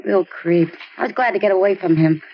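A flippant American runaway teenage girl, played by a woman in a 1950s radio drama. She rattles off scornful slang at a quick clip. The sound is dull, with a steady layer of old recording noise. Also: mid-range voice, lightly acted.

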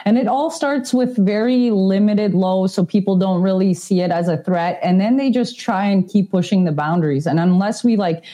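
A woman's voice: mid-range voice, normal speed, few pauses, warm tone. A woman in her thirties talking casually and informally as a podcast guest. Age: 30s. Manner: informal, slangy.

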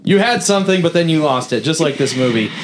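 A man's voice: fairly high pitch, lively intonation, quick pace, noisy background. A man hosting a casual movie review podcast, joking and swearing as he rambles. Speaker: podcast host.

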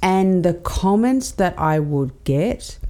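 Lower-voiced woman, from Australia, chatty storyteller on a podcast panel. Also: animated, slow pace, warm tone. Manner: storytelling with quoted asides, filler-heavy. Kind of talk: casual panel chat.

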